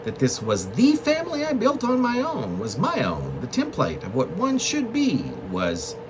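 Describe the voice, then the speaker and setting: A man reading his own literary poem as a reflective monologue, in halting, deliberate phrases with pauses mid-thought. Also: sits high, wildly swooping pitch, rough recording. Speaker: poet reading own work.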